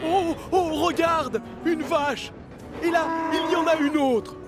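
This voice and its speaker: A man's voice: extremely high pitch, slow, loud music behind the voice. A man voicing a timid, anxious, apologetic little tram engine in a cartoon, hesitant and flustered. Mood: dreamy, delighted. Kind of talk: French cartoon dub acting.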